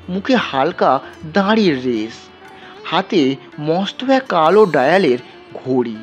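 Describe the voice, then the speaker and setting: Slow, expressive man narrating a story over music. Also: high voice. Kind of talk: scripted dramatic story narration. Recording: dull, lo-fi sound.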